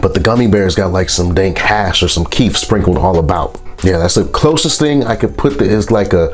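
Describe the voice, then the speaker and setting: A man with a congested, stuffy voice from a sinus infection, reviewing products in a relaxed, rambling vlog. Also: low-pitched, normal speed, soft background music. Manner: relaxed, rambling, with frequent fillers.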